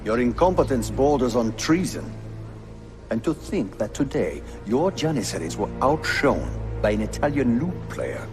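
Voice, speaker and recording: A man's voice: mid-range voice, big pitch swings, moderate pace, lightly acted. An actor playing a stern Ottoman royal uncle in a game, imperious and cutting in manner. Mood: scornful. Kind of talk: dramatic game cutscene acting. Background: music.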